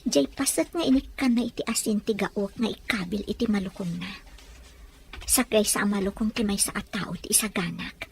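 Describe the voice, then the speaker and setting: A woman's voice: mid-range voice, moderate pace, close to an everyday voice. A woman playing a dutiful, watchful young housewife in an Ilocano-accented audio drama. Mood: secretive, suspicious. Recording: faint background noise.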